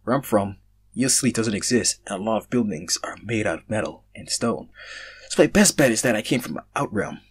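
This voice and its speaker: A man's voice: lowish voice, animated, moderate pace, husky. A man voicing a nervous, earnest foreigner from another world in a story reading, hesitant and pleading.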